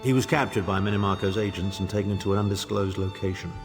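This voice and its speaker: A haughty fantasy-game nobleman, who speaks with pompous disdain and scheming self-importance. His voice rings in a long reverb over clear background music. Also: low-pitched, steady tone, fast. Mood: disdainful. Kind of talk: theatrical fantasy game dialogue.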